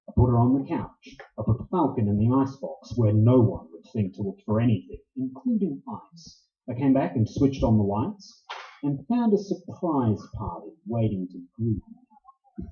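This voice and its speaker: Recorded in a roomy space with a dulled top end, this is radio noir. A man acts a tough, cocky private eye, brusque and sardonic, with very lively swings in pitch. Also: close to an everyday voice. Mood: narrating, wry. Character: tough, cocky, wisecracking male private detective. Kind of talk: staged radio play acting, 1940s noir. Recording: roomy, dull live stage recording.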